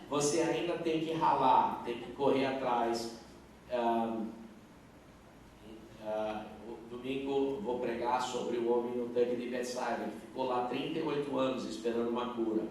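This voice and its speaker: A middle-aged male pastor answering questions at a youth service, earnest and halting, with many fillers and restarts. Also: mid-range voice. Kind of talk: live Q&A at a youth church service. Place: large church hall. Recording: echoey hall, distant mic, faint noise.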